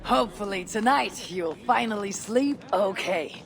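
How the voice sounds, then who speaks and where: An actress playing a tough, terse female Viking raider in a video game, with a gravelly voice and dry humor. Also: medium pitch, unhurried, close to an everyday voice. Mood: playful reciting. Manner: blunt, terse. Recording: crowd murmur behind.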